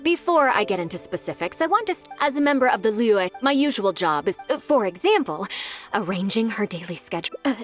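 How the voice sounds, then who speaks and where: A woman voicing an earnest, diligent young secretary in a video game, speaking in a polite, businesslike and expressive way. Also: mid-range voice, fairly quick, faint music behind, natural voice.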